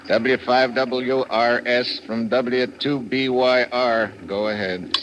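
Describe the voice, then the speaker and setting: An actor playing a wisecracking, hard-boiled American government troubleshooter in a vintage radio adventure drama, wry, clipped and casual. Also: male voice, mid-range voice, steady tone, takes it slowly, fairly bright tone, muffled recording. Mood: casual, reluctant. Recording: noisy old radio drama recording.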